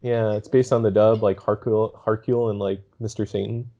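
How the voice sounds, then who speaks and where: Mellow male podcaster on a dull track. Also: on the low side, little pitch movement, moderate pace. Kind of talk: casual movie podcast chat.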